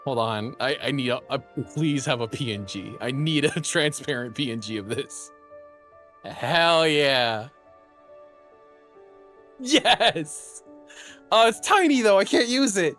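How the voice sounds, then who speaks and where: A young man streaming live, chatting casually; he is excitable, laughs often and is losing his voice. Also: fairly high pitch, slow pace, pauses often, faint music behind. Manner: excitable, laughs often, repeats words in bursts.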